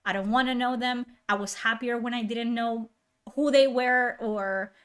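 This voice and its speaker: A woman's voice: fairly high pitch, steady tone, moderate pace, clear, resonant tone. Sarcastic, heated woman streamer ranting.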